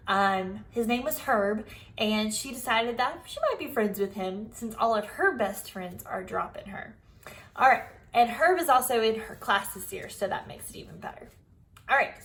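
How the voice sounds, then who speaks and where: A woman teacher giving an expressive storybook read-aloud, speaking directly to young pupils. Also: on the high side, poor audio. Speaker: primary school teacher.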